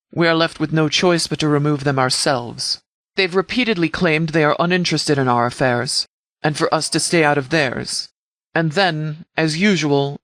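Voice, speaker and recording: A man playing a commanding sheriff in a video game, speaking formally and deliberately as he briefs subordinates. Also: fairly high pitch, fairly bright tone, no room sound, close to an everyday voice. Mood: stern, resolute. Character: commanding, measured sheriff leading an armed department.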